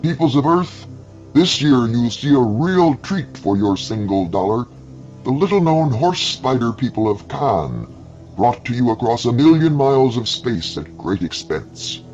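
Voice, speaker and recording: Male narrator as grand zoo showman, booming proclamations, raised pitch, storybook theatrics, lively swings. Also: unhurried, lo-fi sound, soft background music. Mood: grand, urgent. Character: showman professor, proud alien-zoo ringmaster in rainbow cape. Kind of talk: dramatised storytelling.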